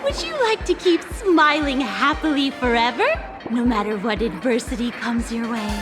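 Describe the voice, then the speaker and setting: A woman's voice: a little high, animated, normal speed, natural voice. A woman voicing an overeager, sweet-talking street recruiter in a video game, inviting and a bit pushy. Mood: eager. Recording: game cutscene with loud music.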